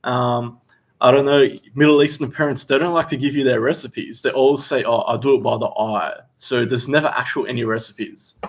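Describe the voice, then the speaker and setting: A young Australian man, a chef, hosting a casual livestream Q&A while seated, reading out viewer questions and answering in a relaxed, chatty way. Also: medium pitch, moderate pace. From Western Australia. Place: seated indoors.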